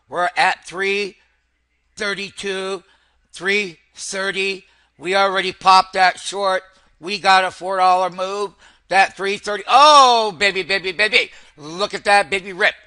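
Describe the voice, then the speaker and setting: A man giving excitable, hype-driven live trading commentary as a host, repeating phrases and addressing his viewers like friends. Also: high-pitched. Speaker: stock trader and host.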